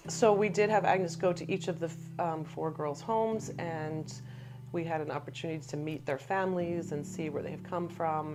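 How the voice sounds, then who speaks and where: Low-voiced middle-aged woman teacher. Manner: thoughtful, searching for words. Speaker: guest, former teacher. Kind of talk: casual interview chat.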